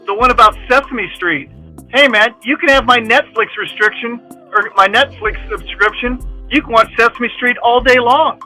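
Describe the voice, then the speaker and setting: A man's voice: extremely high pitch, moderate pace, bright, forceful tone, soft background music, rough recording. A man co-hosting a casual livestream, cutting in with jokes and wisecracks. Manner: joking, wisecracking. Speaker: livestream co-host. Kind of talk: casual stream chat.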